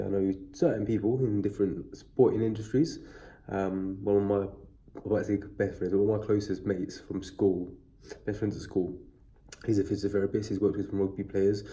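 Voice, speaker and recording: A man's voice: fairly low pitch, dark tone. A man with a stuffy, sniffly cold, chatting casually and rambling through a boxing news video on a built-in mic. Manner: chatty, rambling, many fillers and restarts. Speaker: boxing vlogger. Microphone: built-in device mic, no external mic.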